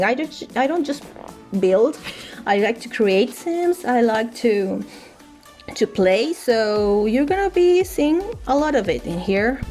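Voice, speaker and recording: A woman's voice: fairly high pitch, darkish tone. Chatty woman, Spanish native, over music. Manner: chatty, frequent restarts and "like" fillers. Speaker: gaming YouTuber. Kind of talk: casual voice-over chat. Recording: faint music underneath.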